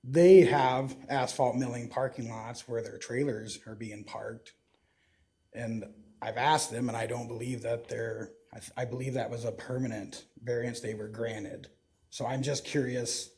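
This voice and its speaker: Mellow-voiced man, hesitant applicant. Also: medium pitch, steady tone, a little slow. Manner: hesitant, hedging. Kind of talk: answering questions at a hearing.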